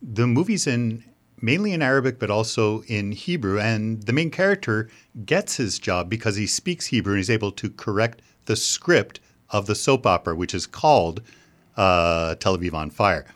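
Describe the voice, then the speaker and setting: A man's voice: on the low side, lively intonation, normal speed, fairly bright tone. A man interviewing a filmmaker, asking plain probing questions with small restarts.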